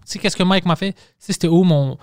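A man speaking French with an English-tinged accent, pitched a little high for a man. His podcast talk is loose and profane, full of stops and restarts, and the sound is dry and close. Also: fast talker, no echo. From Quebec. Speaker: podcast host, stand-up comedian. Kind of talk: casual podcast chat.

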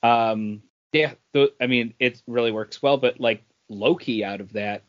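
A man co-hosting a casual gaming chat, talking informally and a bit haltingly in loose, wandering sentences. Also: medium pitch, muffled recording. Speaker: podcast co-host.

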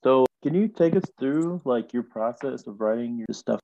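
Mellow-voiced male podcast host. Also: mid-range voice, expressive, moderate pace, highs cut off. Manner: brief affirmations, easygoing questions. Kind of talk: casual interview.

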